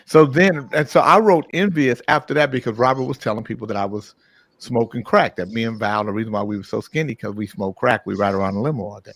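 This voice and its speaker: A man hosting a morning talk show, telling a long, profane anecdote in a casual, animated way. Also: medium pitch, fast, no echo. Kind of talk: casual storytelling.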